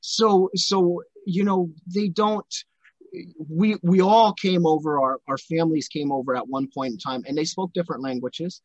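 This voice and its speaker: A male podcast host speaking in a conversational, halting way and often restarting his phrases. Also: a little high, fairly even intonation, dry recording. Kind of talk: conversational interview. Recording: dry, dull lo-fi sound.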